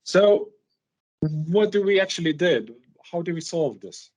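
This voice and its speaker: A man's voice: on the high side, expressive, a little slow, a little mellow, poor audio. A man who is a non-native English speaker gives a conversational technical presentation, informally, posing rhetorical questions as he explains. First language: not English.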